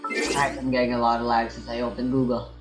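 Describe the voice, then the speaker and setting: A man's voice: medium pitch, flat delivery, fast. A man muttering offhand asides to himself while playing a game. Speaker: gamer. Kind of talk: gameplay commentary. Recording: roomy sound over game music.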